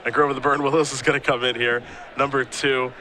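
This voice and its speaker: A man calling live game play-by-play, steady when describing plays and easygoing in banter with his co-host. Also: medium pitch, quick pace. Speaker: play-by-play commentator. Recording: noisy live event audio.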